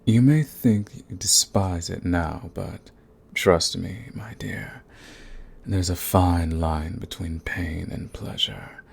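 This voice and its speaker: Deep, slow, gravelly vampire master, acted by a man. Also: darkish tone, full character voice. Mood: seductive, menacing. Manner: slow, coaxing, condescending, drifting into breathy whispers. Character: dominant, sadistic male vampire master, possessive and seductive. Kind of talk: intimate ASMR roleplay.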